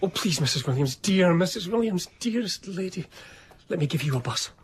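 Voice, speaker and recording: An actor playing an eager, ingratiating gentleman, courteous and wordy, in a period radio drama. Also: high voice, lively intonation, a little fast, slight background noise. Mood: fawning. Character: eager, ingratiating Scottish gentleman, self-satisfied narrator.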